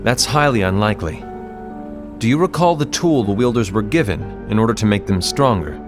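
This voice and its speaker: An actor playing a serious, suspicious male leader in a fantasy video game cutscene, speaking in a grave, measured way. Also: lowish voice, animated, over music, natural voice.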